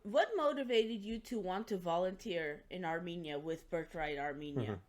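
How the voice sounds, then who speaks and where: A woman hosts an interview with a formal, measured delivery. She sits at a middling pitch and enunciates carefully, as if reading from prepared notes. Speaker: interview host. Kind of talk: formal scripted introduction and interview.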